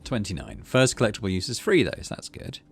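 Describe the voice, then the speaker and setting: A man's voice: on the low side, very animated, fast talker, gravelly, breathy edge, faint music behind. A middle-aged man giving casual let's play commentary and story narration, thinking aloud as he goes. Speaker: gaming video host.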